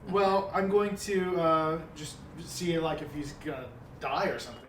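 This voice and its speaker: Man, fairly high voice, casual game player, far from mic, roomy echo. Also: moderate pace, a bit bright. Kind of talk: casual role-playing chat. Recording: distant, echoey, faint noise.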